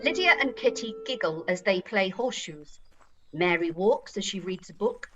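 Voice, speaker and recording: A woman's voice: medium pitch, expressive, moderate pace, over music, rough recording. A woman reading stage directions as a narrator, in a scripted style.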